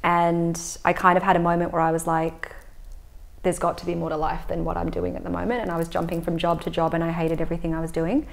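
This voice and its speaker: A woman in her thirties who grew up in Australia, a yoga teacher answering interview questions in a calm, explanatory way with frequent fillers. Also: lowish voice, fast talker, vocal fry. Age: 30s. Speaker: yoga teacher and breathwork facilitator.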